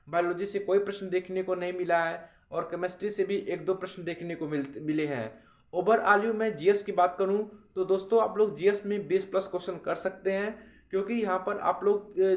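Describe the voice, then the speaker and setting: Male exam-prep coach, notably high voice, explanatory delivery, recorded in a roomy echo. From India. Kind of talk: informal exam analysis for students.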